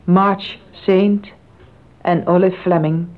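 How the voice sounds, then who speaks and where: A woman performing as the solemn, measured narrator of a radio drama. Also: on the low side, fairly even intonation. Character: solemn narrator of a true tragedy. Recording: thin phone-like old recording.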